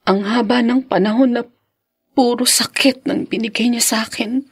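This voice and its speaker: A woman playing a wounded, weary young wife and mother in a Filipino radio drama, speaking in a breathy, hesitant, heavy-hearted way. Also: on the high side, fairly even intonation, moderate pace, dry recording, studio quality. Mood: hurt, troubled.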